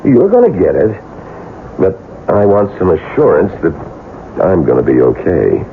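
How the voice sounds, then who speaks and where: A jittery schemer on the run, super deep creaky voice, hesitant, old narrow radio recording. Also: male voice, wildly swooping pitch, a little slow, a little hiss or hum, exaggerated voice. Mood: scheming. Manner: hesitant, agitated, repeating words. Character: nervous, scheming man on the run. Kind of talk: 1980s radio drama acting.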